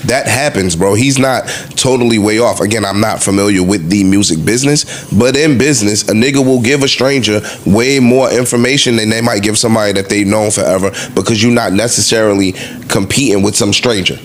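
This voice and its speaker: A man's voice: on the low side, brisk. An American man on a podcast panel, making his case casually and emphatically with repeated points.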